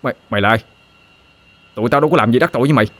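A man with a Southern Vietnamese accent voicing a scrappy, hot-headed young man who stammers and blusters quickly. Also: medium pitch, fairly bright tone, no echo, pitched above the performer's own voice, lightly acted. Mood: flustered.

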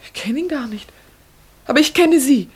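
A woman's voice: sits high, expressive, normal speed, a little mellow. An actress playing an earnest, anguished young lady secretary in a radio play, with an intense, romantic delivery. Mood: alarmed. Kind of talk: classic German radio play acting.

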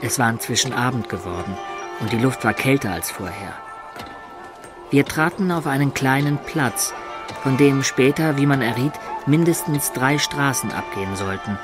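A medieval adventurer played by a man tells his own story in a radio drama. The narration is literary and descriptive, in a mid-pitched voice with clear music underneath. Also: moderate pace, close to an everyday voice. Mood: storytelling. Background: music behind the voice.

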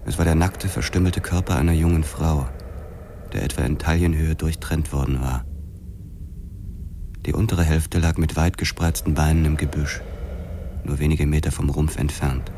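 An actor playing a brooding, terse young police detective who narrates a noir crime drama in a measured, sombre way. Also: male voice, rumbling low, fairly even intonation, noisy background. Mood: grim. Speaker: first-person narrator.

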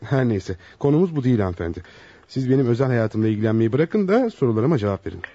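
Quick-talking, mellow young psychologist. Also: male voice, mid-range voice, sing-song, breathy edge. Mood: reassuring, firm. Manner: courteous, questioning, flustered. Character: polite, bemused young bachelor psychologist. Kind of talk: radio theatre acting. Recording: dull, roomy lo-fi radio drama.

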